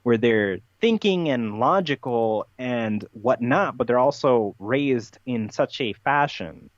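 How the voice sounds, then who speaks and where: A man with an average-pitched voice talks as an interview guest. He rambles through playful, far-fetched claims in a matter-of-fact way. The sound is close and dry but a bit muffled and lo-fi. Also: lively intonation, slow pace, no echo. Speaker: podcast guest. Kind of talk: conversational interview talk, playful tongue-in-cheek persona.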